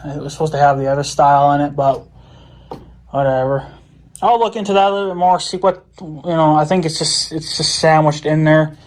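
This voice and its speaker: A man's voice: a little high, fairly quick. A man talking casually to viewers in a hands-on DIY vlog, rambling and thinking aloud in folksy, informal words. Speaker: vlogger.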